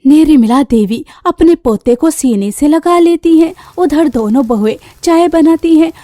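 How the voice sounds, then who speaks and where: A woman's voice: high voice, animated, a little slow, warm tone, dry recording. A woman reading scripted narration for a cartoon story as the storyteller.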